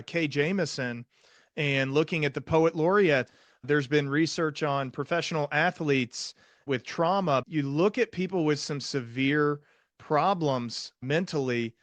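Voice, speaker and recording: A man's voice: on the high side, unhurried, dry recording. A man with an American accent hosting a podcast interview in a conversational style. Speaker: podcast host, psychiatrist.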